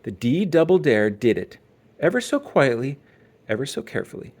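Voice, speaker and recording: Children's read-aloud narrator, a parent, expressive delivery with animated, medium pitch. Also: moderate pace. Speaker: storybook reader, parent.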